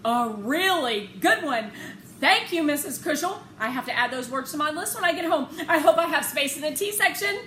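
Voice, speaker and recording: A woman voicing an excitable, literal-minded school-age girl who blurts out loud, emphatic announcements in a children's storybook reading. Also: sits high, normal speed, voice pushed up. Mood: excited. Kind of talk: children's novel read-aloud. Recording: echoey room with clear background noise.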